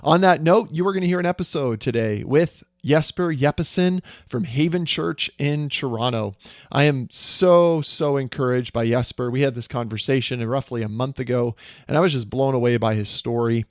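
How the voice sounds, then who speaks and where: A man's voice: medium pitch, moderate pace, dry recording. A man hosting a church podcast, delivering an upbeat, encouraging intro and leading an interview. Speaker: podcast host. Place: a home. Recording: dry close mic with faint music.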